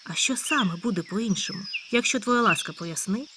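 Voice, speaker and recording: A woman voicing a calm, hardworking male hedgehog in a storybook tale, speaking matter-of-factly. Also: medium pitch, moderate pace, a little hiss or hum. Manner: calm, matter-of-fact, scolding when cross. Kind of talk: storybook character voice.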